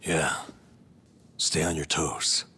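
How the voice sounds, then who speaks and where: An actor playing a gruff, loyal, easygoing older man who teases his friend in a video game cutscene. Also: very deep voice, takes it slowly, pushed and bright, exaggerated voice. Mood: hearty.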